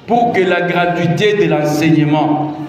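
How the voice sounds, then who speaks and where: A man's voice: on the high side, measured pace, a little mellow, faint music behind. A middle-aged Congolese man with a Congolese French accent gives a public talk. He repeats his key phrases and asks the audience rhetorical questions. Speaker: presidential adviser giving a public talk. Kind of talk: public speech on the constitution. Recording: echoey hall, mic far off.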